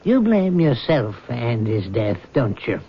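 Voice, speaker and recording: An actor playing a calm, probing middle-aged family doctor in a radio drama, speaking in a measured, questioning and firm way. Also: male voice, mid-range voice, slightly rough, exaggerated voice. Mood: measured, grave. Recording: muffled, noisy old radio broadcast.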